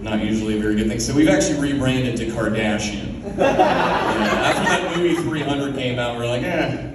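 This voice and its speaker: A man's voice: medium pitch, normal speed, background noise. Laid-back male comic in echoey club. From Oklahoma, USA. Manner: laid-back, filler-heavy delivery with lots of "man" and "uh". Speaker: stand-up comedian. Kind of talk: stand-up comedy set. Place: comedy club stage. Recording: very echoey club room from far off. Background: audience laughter.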